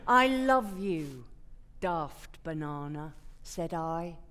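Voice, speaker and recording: A woman's voice: deep voice, lively intonation, extremely slow, a bit bright, slight background noise. A woman actor reciting a comic poem on a theatre stage. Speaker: actor reading poetry. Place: theatre stage before an audience.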